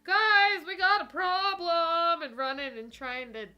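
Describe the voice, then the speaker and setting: A woman playing a tabletop game, chatting casually with animated, joking interjections. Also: extremely high pitch, unhurried, dull audio.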